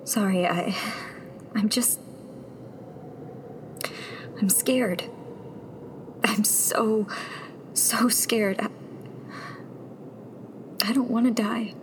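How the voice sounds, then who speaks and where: Slow, halting, anxious female rebel. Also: mid-range voice, noisy background. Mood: frightened, hushed. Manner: halting, breathy, nervously sarcastic. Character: anxious young female rebel tech, sardonic survivor. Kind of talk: naturalistic sci-fi radio drama acting.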